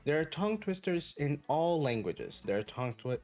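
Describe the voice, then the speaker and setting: A man whose first language is Brazilian Portuguese, giving an online English pronunciation lesson in a clear, deliberate, encouraging way. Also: a little high, very animated, a little mellow. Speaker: English teacher.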